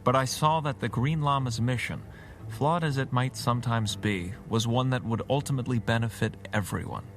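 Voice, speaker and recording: A man playing a calm, dry-witted gentleman hero, speaking politely and with measured understatement in a radio drama. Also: medium pitch, natural voice. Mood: earnest, guarded.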